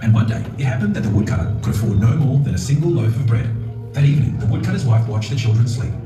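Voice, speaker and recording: A man's voice: medium pitch, animated, quick pace. A man reading a scripted children's fairytale as the storyteller. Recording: very echoey, distant playback, music behind. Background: music.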